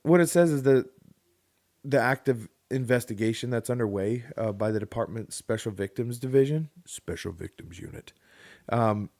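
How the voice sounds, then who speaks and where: A man's voice: medium pitch, normal speed, darkish tone, no echo. Sarcastic man reading news on a podcast. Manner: reads news aloud, joking and sarcastic. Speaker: podcast co-host. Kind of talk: casual podcast chat.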